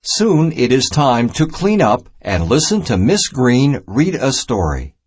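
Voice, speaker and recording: A man narrating a simple children's math storybook as a scripted, instructional read-aloud, in deliberate, separated phrases. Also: medium pitch, big pitch swings, husky.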